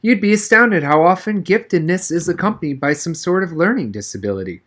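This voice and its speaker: A man voicing a female schoolteacher in an audiobook, gently probing, concerned and reassuring. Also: high voice, animated, fairly quick, voice pushed up.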